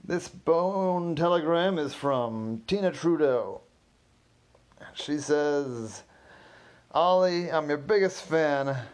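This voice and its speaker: A man voicing a goofy costumed lion-telegram performer who booms slowly and roars. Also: lively intonation. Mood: booming, theatrical. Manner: booming, drawn-out, growling "rawr".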